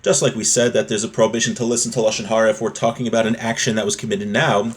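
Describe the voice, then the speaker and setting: A man's voice: lowish voice, level tone, racing. A man giving an informal religious text lesson as a teacher, explaining and restating points with everyday examples.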